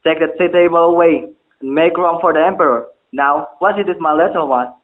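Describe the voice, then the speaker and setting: A man's voice: on the high side, brisk, lightly acted. A man playing a stern, anxious bell-maker father in a formal, declamatory stage drama. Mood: grave, urgent. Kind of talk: amateur stage-play dialogue, formal archaic English. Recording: muffled, phone-like, echoey room.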